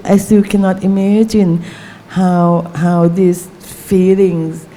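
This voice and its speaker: Slow, mellow-voiced Thai woman. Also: lowish voice, little pitch movement, rough recording. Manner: halting, searching for words, many fillers. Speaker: novelist on a panel. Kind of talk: panel discussion answer.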